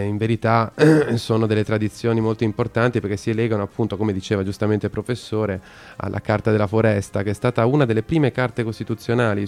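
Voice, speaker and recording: A man hosting a radio talk, speaking hesitantly with many pauses and filler sounds. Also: on the low side, creaky, a little mellow. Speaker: radio host.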